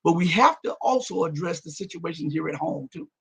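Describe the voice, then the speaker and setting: A man hosts a live stream, reading out viewer comments in a raspy, husky voice that cracks and breaks up at times. He sits fairly high for a man and leans on a gravelly fry. He talks emphatically, restarting and repeating words, then drops low to mutter his asides. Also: animated, a little fast. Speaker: host of a live-stream show.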